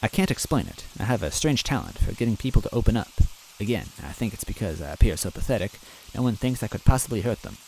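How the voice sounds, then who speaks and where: A man's voice: lowish voice, very animated, quick pace, creaky, hardly any pauses, a little mellow, slight background noise, rough recording. A man reading a fan story aloud in first person, earnest and timid in tone. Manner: earnest and timid, self-deprecating. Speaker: story reader.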